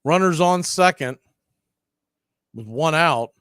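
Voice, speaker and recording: A man calling a live baseball game on radio, chatting and telling side stories between pitches. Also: expressive, takes it slowly, a bit bright, clear, resonant tone, no echo, studio quality. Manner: chatty, tells side stories and laughs at them. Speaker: radio play-by-play announcer. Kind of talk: live baseball play-by-play with casual chat.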